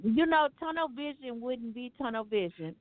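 A woman hosts a community radio talk show in a loose, joking way, keeping the segments moving. Her voice sits a little high and comes through thin and phone-like. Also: animated, moderate pace, no room sound. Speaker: radio host. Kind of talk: casual radio chat. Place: radio studio. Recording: tinny phone-like radio audio.

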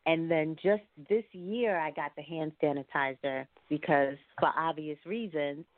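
A woman's voice: sits low, measured pace, no room sound. A woman talking casually as an interview guest over a call. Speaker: guest, singer and entrepreneur. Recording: thin phone-like call audio.

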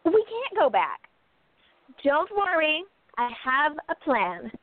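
A woman's voice: sits high, sing-song, takes it slowly, no echo, full character voice. A woman playing a bold, resolute sleuth who speaks firmly, on a tinny phone-like line. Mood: determined. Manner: firm, determined.

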